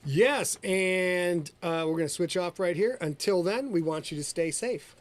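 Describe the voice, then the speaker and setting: A man's voice: high voice, measured pace. A man co-hosting a casual livestream, joking around with crude banter and teasing his wife. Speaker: podcast co-host.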